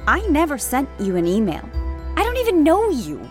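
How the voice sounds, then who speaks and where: A woman's voice: sits high, wildly swooping pitch, moderate pace, soft background music. A woman playing a cryptic, guarded character in an audio drama trailer. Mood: defensive.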